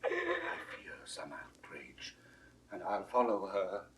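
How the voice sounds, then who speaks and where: An actor playing a grave, tender royal lord in a classical Shakespearean audio drama, declamatory and dropping into a breathy hush. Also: male voice, deep voice, wildly swooping pitch, takes it slowly, exaggerated voice. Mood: uneasy. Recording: distant mic with background hiss.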